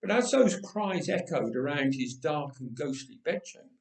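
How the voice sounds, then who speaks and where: A man telling a story as an expressive narrator, with measured, dramatic phrasing. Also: on the high side, gravelly. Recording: roomy echoing voice recording.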